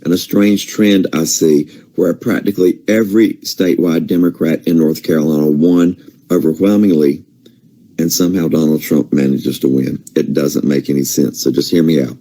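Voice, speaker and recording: A man whose voice is wearing out reels off vote counts and keeps asking if it makes sense. His voice is extremely deep and very dark. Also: fairly even intonation, normal speed. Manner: incredulous, rhetorical, lists numbers. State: losing his voice. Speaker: political commentator. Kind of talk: casual commentary.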